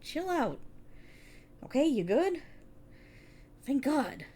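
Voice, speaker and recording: Woman acting a prickly, animated female police officer. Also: sits high, takes it slowly, heavily acted. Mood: irritated, relieved. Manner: wry, bossy, explanatory, emphatic. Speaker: voice actor in audio roleplay. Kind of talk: scripted ASMR roleplay, one-sided dialogue.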